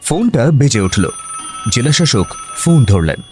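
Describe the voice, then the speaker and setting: A man giving expressive scripted narration as the storyteller of a radio drama. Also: medium pitch, unhurried, music in the background.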